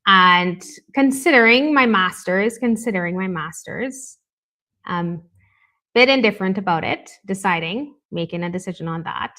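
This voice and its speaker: A woman from Canada speaks as a talk show guest, sharing her own experience in an open, reflective way. She talks in a relaxed conversational rhythm, often checking in with a quick "right?". Her voice sits at an ordinary middle pitch. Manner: candid, reflective, frequent "right?" tags. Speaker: guest, life coach. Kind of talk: conversational interview.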